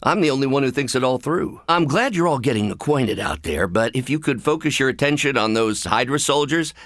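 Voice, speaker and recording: An actor voicing a brilliant, anxious, wry scientist with buried anger in a video game, speaking in precise, articulate, dryly sardonic phrases. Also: male voice, medium pitch, expressive, brisk, a bit bright, no echo, clean studio sound, close to an everyday voice.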